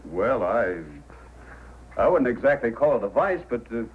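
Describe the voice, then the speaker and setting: An actor playing a calm, fair-minded Mountie sergeant in an old-time radio drama, measured and conciliatory. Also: male voice, medium pitch, lightly acted. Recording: muffled old radio recording, faint noise.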